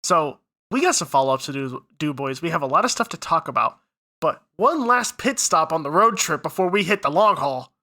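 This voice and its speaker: A man's voice: on the high side, lively intonation, fairly quick, sharp tone. A man hosting a casual podcast chat, sniffly from a head cold, upbeat and running the intros and plugs. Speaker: podcast co-host.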